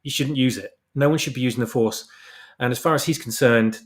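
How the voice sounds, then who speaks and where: Male guest author, mid-range voice, halting explanatory style in relaxed interview talk. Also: medium pitch. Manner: halting, repeats words while explaining. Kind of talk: casual interview discussion.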